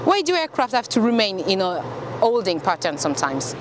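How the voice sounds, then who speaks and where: A woman's voice: medium pitch, sing-song, fairly quick, noisy background. A woman reporter delivering scripted presentation and interview questions. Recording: music clearly audible under voice.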